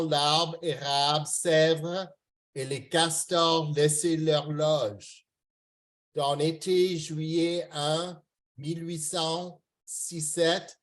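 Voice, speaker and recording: Brief: man from Canada, French native speaker, poet reading on a video call, fairly high voice, slow and careful. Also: flat delivery. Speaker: open mic poet. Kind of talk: reading poetry aloud.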